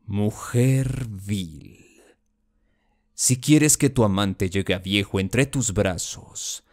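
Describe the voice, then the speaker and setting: A man's voice: lowish voice, darkish tone. A man with a Latin American Spanish accent playing a vengeful, anguished duke in a classical verse monologue, solemn and declamatory. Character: vengeful, anguished Jacobean duke, a wronged brother.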